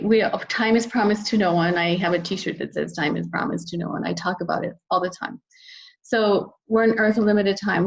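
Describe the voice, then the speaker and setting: A muffled, lo-fi recording carries a middle-aged woman's voice at an average pitch. She is a talkative podcast guest, speaking conversationally and with enthusiasm. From United States. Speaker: podcast guest, children's book author. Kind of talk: conversational interview.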